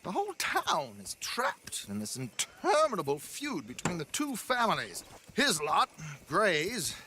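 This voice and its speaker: A man's voice: high voice, wildly swooping pitch, measured pace, noisy background, heavily acted. An actor playing a fussy, theatrical male con-man magician in a game, speaking in a florid, gossipy way.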